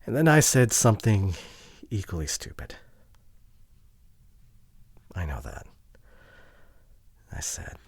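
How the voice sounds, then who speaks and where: A man playing a regretful, wounded boyfriend pleading with his partner in an ASMR audio drama, halting and emotional, often dropping to hushed tones. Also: sits low, wildly swooping pitch, creaky, slightly rough, no echo, full character voice. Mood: ashamed, bitter.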